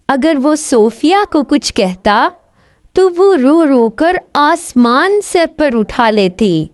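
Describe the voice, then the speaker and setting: A woman's voice: sits high, no room sound, clean studio sound. A woman narrating a scripted fairy tale as a storyteller.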